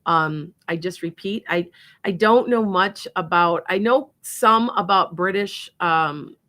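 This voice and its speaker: A woman's voice: on the low side, slow, fairly bright tone. Chatty psychic woman with a Wisconsin accent. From Wisconsin, USA. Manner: chatty, rambling, laughs often. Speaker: psychic radio show host. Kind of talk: casual chat and psychic readings.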